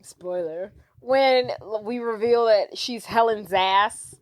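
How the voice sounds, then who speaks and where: A high-pitched woman chatting on a podcast, retelling a film with playful, animated energy. Her voice sits close and dry on the mic, with no room sound. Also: takes it slowly, no room sound. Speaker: podcast co-host. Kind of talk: casual movie recap chat.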